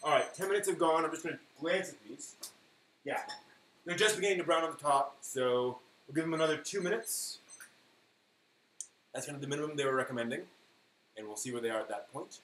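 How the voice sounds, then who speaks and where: A man hosting a live stream in casual, rambling chat, thinking aloud haltingly and leaning on key words. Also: fairly high pitch, lively intonation, fairly bright tone. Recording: echoey room with faint noise.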